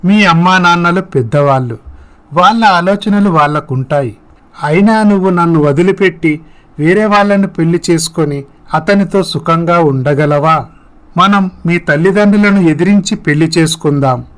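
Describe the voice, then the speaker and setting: Casting: male narrator as lovelorn young man in story drama, slightly high voice, earnest and persuasive. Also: moderate pace, natural voice. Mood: pleading. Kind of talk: scripted moral story dialogue.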